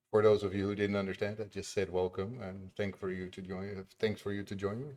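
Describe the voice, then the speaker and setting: A man's voice: on the low side, flat delivery, normal speed, darkish tone. A man chatting casually on a live stream while building his game, laughing often and muttering to himself as he works. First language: Dutch. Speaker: indie game developer streaming.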